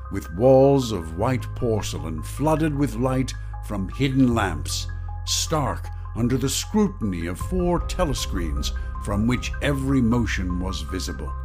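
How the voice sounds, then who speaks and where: A grave, bleak narrator played by a man for a radio play. He speaks solemnly and deliberately in a fairly low voice over a music bed. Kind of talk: dramatic scripted narration, radio drama.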